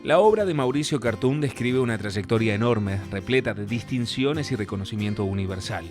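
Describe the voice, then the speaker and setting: A man's voice: fairly low pitch, expressive, brisk. A man reading a scripted biographical narration as a voice-over. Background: guitar music under the voice-over.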